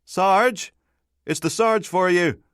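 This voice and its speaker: A man's voice: sits high, slow pace, a bit bright, clear, resonant tone, no room sound, clean studio sound, voice pushed up. A man voicing an eager, sheepish young male police constable in an audiobook, chirpy, hesitant and deferential.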